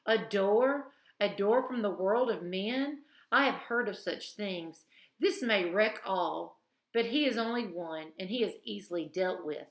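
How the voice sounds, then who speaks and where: A woman's voice: mid-range voice, animated, measured pace. A woman voicing an imperious, stern queen who asks commanding questions, recorded with a roomy echo.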